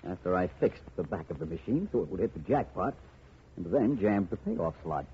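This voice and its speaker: A man's voice: deep voice, lively intonation, fairly quick, slightly rough, heavily acted. A man playing a shrewd, self-assured, tough private detective in a mid-century radio drama. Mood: cool, assured. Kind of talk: 1940s-50s radio detective drama acting. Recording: muffled old radio recording, light hiss.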